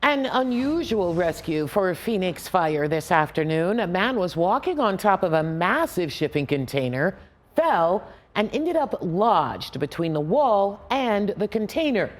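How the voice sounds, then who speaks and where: A woman's voice: fairly low pitch, big pitch swings, unhurried. An American woman anchoring a TV newscast in a scripted news delivery.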